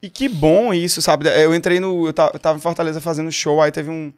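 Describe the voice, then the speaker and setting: A young man from Pernambuco with a Pernambuco Portuguese accent, a singer chatting as a guest on a podcast in an animated, storytelling way. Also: a little high, fairly quick. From Pernambuco, Brazil. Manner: animated, storytelling, quotes people. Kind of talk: casual podcast chat.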